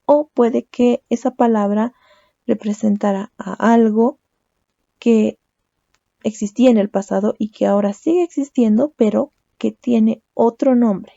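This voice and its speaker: A woman's voice: on the high side, steady tone, a little slow, creaky, mellow, no echo, muffled recording. A young woman teaching a language lesson, explaining step by step and often checking with a questioning "¿no?". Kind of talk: recorded lecture.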